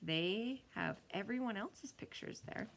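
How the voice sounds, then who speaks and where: Skeptical schoolboy for a children's audiobook, played by a woman: exasperated, dismissive, slightly gravelly. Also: medium pitch, animated, takes it slowly, a bit bright, voice pushed up, heavily acted. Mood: puzzled. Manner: sighing, dismissive.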